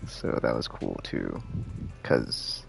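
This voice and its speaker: A man chatting casually on a live game stream in a laid-back, slangy, rambling way, thinking out loud, with a gravelly voice. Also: extremely low pitch, slow pace, slight background noise. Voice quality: gravelly, creaky.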